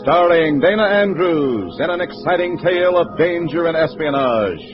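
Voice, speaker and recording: A man's voice: a little high, animated, moderate pace. Muffled vintage recording, male radio announcer, urgent and emphatic, projected, dramatic music behind.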